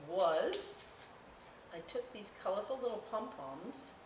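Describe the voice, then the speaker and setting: Slow-paced woman storyteller in a roomy space. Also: mid-range voice. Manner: folksy, chatty, expressive asides to young listeners. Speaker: library storytime host. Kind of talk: children's read-aloud and craft demonstration with casual asides. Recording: roomy echo, distant mic, faint noise.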